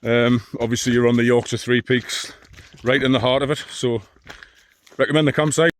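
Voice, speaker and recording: Deep-voiced mumbling man. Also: fairly even intonation, normal speed. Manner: dry self-mocking asides, rambling with restarts. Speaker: long-distance hiker vlogger. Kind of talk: casual walking vlog. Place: outdoors on a hill trail.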